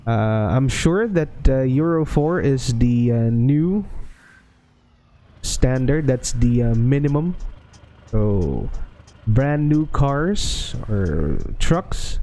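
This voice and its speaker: A man with a Filipino accent giving relaxed gameplay commentary as a channel host, talking hesitantly with many fillers and restarts. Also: medium pitch, slow pace. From Philippines.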